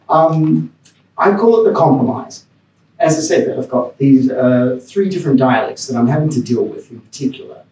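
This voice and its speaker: A man's voice: on the high side, warm tone, reverberant, distant mic, rough recording. A man from Australia giving a personal, rambling talk on a panel, with restarts and chuckles. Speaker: panel speaker. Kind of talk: academic talk. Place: lecture room.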